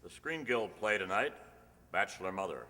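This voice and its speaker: A man's voice: fairly low pitch, animated, moderate pace, bright, forceful tone, soft background music. A man announcing and narrating a scripted old-time radio show. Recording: reverberant hall stage recording.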